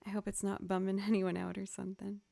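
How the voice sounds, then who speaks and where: A woman hosting a live music stream, chatting casually with viewers in a soft, raspy voice. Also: mid-range voice, level tone, fast talker, dry recording. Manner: quiet, gentle, laid-back talk. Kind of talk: casual livestream chat with viewers.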